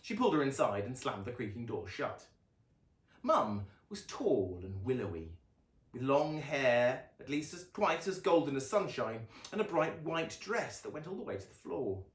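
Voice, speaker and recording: A man reading children's fiction aloud as a dramatic, expressive narrator with a deliberate delivery. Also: mid-range voice, roomy sound.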